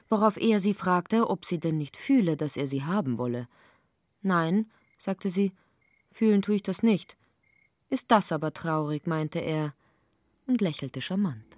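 A woman's voice: lowish voice, expressive, moderate pace, a little mellow, no echo. A woman reading literary narration in an audio drama.

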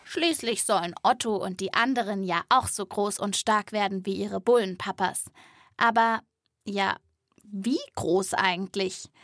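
A woman narrates a children's animal podcast in a lively, playful way, speaking straight to young listeners. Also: mid-range voice, unhurried, no echo, clean studio sound.

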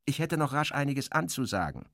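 A man's voice: mid-range voice, sing-song, fairly quick, vocal fry, fairly bright tone, no room sound, clean studio sound, voice pushed up, full character voice. A man playing a polite, businesslike male journalist in an audio drama, speaking formally and courteously.

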